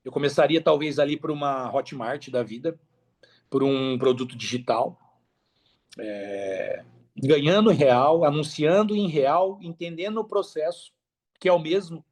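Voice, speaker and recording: Casting: Brazilian male podcast guest, fairly high register, informal advice-giver with colloquial storytelling. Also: a little slow, a little mellow. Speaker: podcast guest, affiliate marketer. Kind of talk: casual podcast conversation, giving advice.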